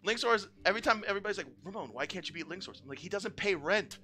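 A man's voice: sits high, rapid-fire, faint music behind. A man, joking and swearing through casual banter as a tournament stream commentator. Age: 30s. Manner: joking, profane, animated.

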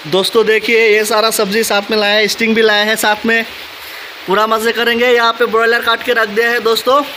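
A man's voice: high-pitched, completely flat delivery, bright, forceful tone. A young man filming a casual travel vlog on his phone outdoors, chatty and addressing his viewers. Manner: chatty, repeats "दोस्तों" and "मतलब", addresses viewers. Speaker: vlogger. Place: outdoors by a forest stream and picnic shelter. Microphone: phone held at arm's length. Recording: noisy outdoor phone recording. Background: rushing stream water.

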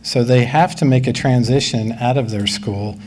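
A middle-aged school official answering the public in a calm, measured, courteous way at a meeting. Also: male voice, medium pitch, a little hiss or hum. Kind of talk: formal reply at a public meeting. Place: large school meeting room. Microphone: table mic close in front.

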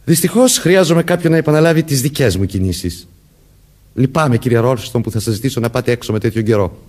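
A man's voice: medium pitch, expressive, a little mellow, lightly acted. An actor playing a murderer who poses as a commanding policeman, vengeful and childlike underneath, in a theatrical radio drama manner. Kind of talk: Greek radio drama acting.

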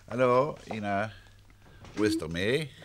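Deep-voiced, composed gentleman detective. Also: animated. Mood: composed, polite. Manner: soothing, measured, probing. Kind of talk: old Dutch radio drama acting. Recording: noisy old radio recording.

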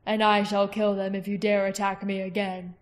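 A woman voicing a cruel, smooth-talking male tyrant king in an audiobook reading, slow and mocking. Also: medium pitch, level tone, natural voice. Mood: menacing.

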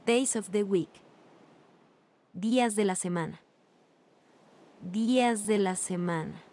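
A woman reading Spanish and English phrases slowly, one at a time, for language learners. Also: medium pitch, darkish tone. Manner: reads each phrase slowly with long pauses. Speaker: language teacher. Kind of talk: slow repeated phrase drills.

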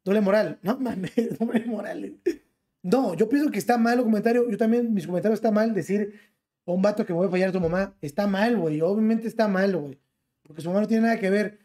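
Ranting young Mexican streamer. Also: male voice, high voice, a little fast, mellow. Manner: ranting, fillers every phrase with slang. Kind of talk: reacting to clips on a live stream. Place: small bedroom. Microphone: gaming headset.

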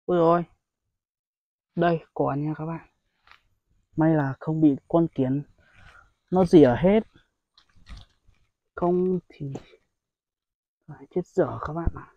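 Young man, fairly high voice, casual outdoor vlogger, dry close phone audio. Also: takes it slowly, pauses often, dry recording, lo-fi sound. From Vietnam. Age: young adult. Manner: chatty, addresses viewers as brothers, frequent exclamations. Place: outdoors among rice paddies and hills. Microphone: phone held at arm's length.

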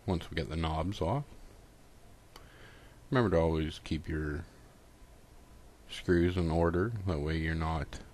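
A man's voice: very deep voice, a little mellow, a little hiss or hum. A man casually narrating a step-by-step repair tutorial in an informal, folksy, unscripted way.

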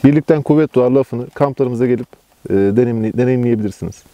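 A man's voice: mid-range voice, fast talker, mellow, no echo. A young man explaining his camping group in a casual, earnest interview as its organizer. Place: outdoors at a campsite.

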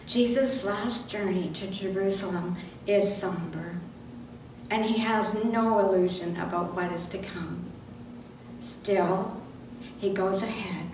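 An older woman reads liturgy aloud at a slow, solemn pace. She sounds distant from the microphone in a very reverberant church, with noticeable noise. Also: on the low side. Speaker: lay reader in church service. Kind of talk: scripted liturgy and scripture reading. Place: medium-sized church sanctuary, indoors. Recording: very echoey church, distant mic, noisy.

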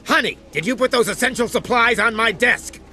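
An actor playing an irritable, self-important police chief who blusters and barks orders in a comedy game. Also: male voice, extremely high pitch, a little fast, bright, forceful tone, slight background noise, heavily acted. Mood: grumbling, irritated. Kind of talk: animated comedy game acting.

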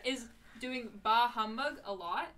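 Giggly young woman, bright high voice. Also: measured pace. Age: young adult. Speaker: podcast co-host. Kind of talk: casual chatty podcast banter.

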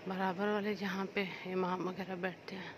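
Flat-voiced woman filming inside a mosque. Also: fairly low pitch, normal speed, noisy background. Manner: brief pointing-out comments. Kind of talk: short casual remarks while filming. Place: inside a large mosque hall.

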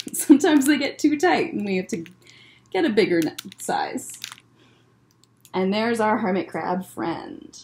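A woman's voice: medium pitch, expressive, a little slow, long pauses, darkish tone, a little hiss or hum. A young adult woman hosting a children's story time, explaining things simply as she chats and reads aloud. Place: library room with bookshelves, indoors. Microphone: webcam or laptop at desk distance.

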